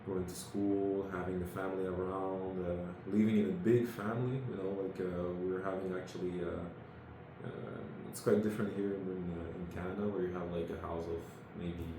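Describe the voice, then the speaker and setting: A man answers interview questions, pausing often as he searches for words. His voice sits very low. The room around him is strongly echoey, with clear background noise. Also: flat delivery. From Senegal. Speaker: interview guest. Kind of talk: casual interview chat.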